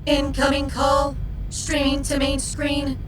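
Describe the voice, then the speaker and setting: A woman voicing a calm starship computer in a sci-fi audio drama, giving clipped announcements. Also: sits high, takes it slowly, music in the background. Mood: impassive.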